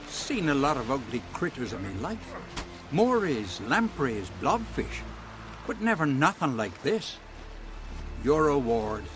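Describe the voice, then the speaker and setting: Slow, swooping rustic seaside villager. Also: male voice, mid-range voice, sing-song, over music. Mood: disgusted, impressed. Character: rustic, salty seaside villager man paying out a bounty. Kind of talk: video game voice acting.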